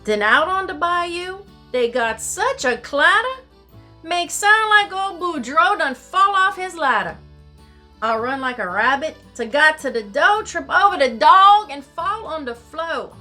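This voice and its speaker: Woman putting on an excitable high Cajun storyteller. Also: unhurried, faint music behind, exaggerated voice. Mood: folksy, building, then excited. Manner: theatrical, singsong rhymed telling in dialect. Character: excitable, folksy Cajun storyteller of a Christmas tale. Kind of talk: children's storybook verse reading.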